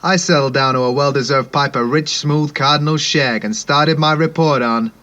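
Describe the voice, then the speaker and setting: An actor playing a cocky, slangy, wisecracking private eye who narrates a parody 1950s radio noir drama. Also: male voice, on the high side, moderate pace, sharp tone, highs cut off. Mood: knowing, storytelling. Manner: smug, slangy. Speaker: lead detective and narrator.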